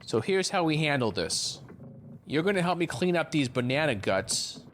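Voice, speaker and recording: A man's voice: on the high side, sing-song, normal speed, fairly bright tone, a little hiss or hum. A man playing a cocky, laid-back shipmate in an audio drama, casual and flippant. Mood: cajoling.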